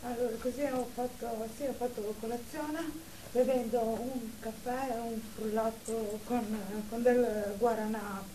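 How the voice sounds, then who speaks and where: A woman's voice: a little high, fairly even intonation, a little slow, mellow, a little hiss or hum, close to an everyday voice, rough recording. A woman playing an anxious therapy patient afraid of being looked at, stammering and repeating herself in an audio comedy drama. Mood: nervous, hesitant.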